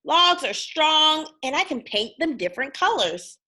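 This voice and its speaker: Lady doing a upbeat creative boy piglet, storybook, musing, drawn-out words, swingy pitch. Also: very animated, pushed and bright, exaggerated voice, rough recording. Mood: eager. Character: Perry, creative, impulsive young male piglet.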